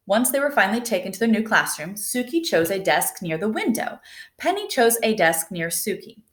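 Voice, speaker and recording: A woman reading a children's picture book aloud in a gentle, unhurried way. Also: mid-range voice. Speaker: storybook reader. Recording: echoey room.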